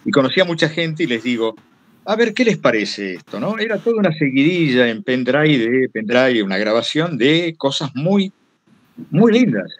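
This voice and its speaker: A man's voice: medium pitch, very animated, normal speed. A man with a Rioplatense Argentine accent, telling anecdotes casually in a digressive way and acting out what people said. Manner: digressive, acts out quoted remarks. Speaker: guest storyteller giving a talk.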